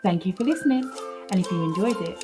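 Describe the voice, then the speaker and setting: Animated woman reading a children's story. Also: fairly low pitch, fast talker, music in the background. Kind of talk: expressive scripted storybook narration.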